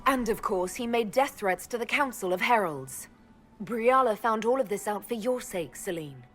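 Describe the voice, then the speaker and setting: A woman's voice: on the high side, expressive, moderate pace, soft background music, natural voice. A woman playing a composed, decisive inquisitor in a fantasy video game, matter-of-fact and assertive. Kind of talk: video game dialogue acting.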